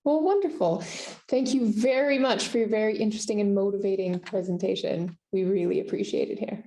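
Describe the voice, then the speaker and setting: A woman's voice: medium pitch, brisk, warm tone. A woman hosting a conference Q&A on a video call, friendly and affirming, with hesitant fillers. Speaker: conference host. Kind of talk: conference Q&A conversation.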